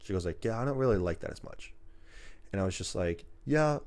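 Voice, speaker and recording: A man with a low voice in casual podcast conversation, telling stories and acting out bits of dialogue as he goes. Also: fairly quick. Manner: animated storytelling, acts out imagined dialogue. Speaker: film podcast host. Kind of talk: casual chat about movies.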